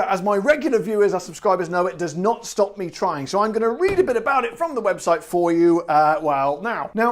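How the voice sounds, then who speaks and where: A man with a British accent hosting a casual gin review, rambling and self-deprecating, often stumbling over words. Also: high voice. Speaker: gin review show host. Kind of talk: casual spoken product review.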